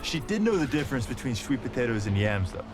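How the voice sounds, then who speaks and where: A man plays an easygoing young street racer in a video game. His mid-pitched voice moves around in lively, teasing banter, with music behind it. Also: fast talker, lightly acted. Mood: earnest. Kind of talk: naturalistic game cutscene dialogue.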